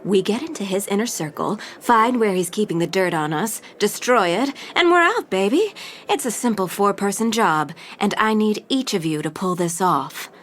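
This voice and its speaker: A woman playing a cunning, seductive crime crew leader in an audio drama, with a smooth, confident, persuasive manner. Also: medium pitch, lively intonation, moderate pace, slight background noise, lightly acted. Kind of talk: scripted audio drama acting.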